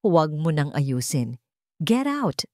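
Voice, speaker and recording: A woman's voice: deep voice, big pitch swings, slow, darkish tone, no room sound, clean studio sound, heavily acted. A woman doing a cold, ruthless lady boss. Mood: icy. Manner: curt, icy, commanding.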